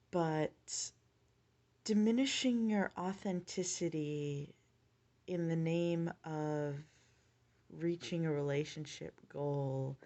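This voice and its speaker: A woman in her late twenties with a low-pitched voice, talking earnestly through her views in a casual vlog, measured and pausing to think. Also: mellow. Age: late 20s. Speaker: vlogger.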